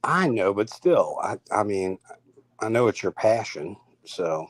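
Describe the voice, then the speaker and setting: A man's voice: fairly low pitch, very animated, measured pace. A man in casual conversation, speaking informally and chiming in as a chat partner. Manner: informal, interjecting.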